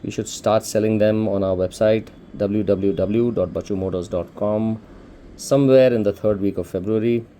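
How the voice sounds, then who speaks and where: A man's voice: on the low side, steady tone, normal speed, dark tone, a little hiss or hum. A man with an Indian accent casually presenting an unboxing for a shop, explaining things informally step by step. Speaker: shop presenter demonstrating a product.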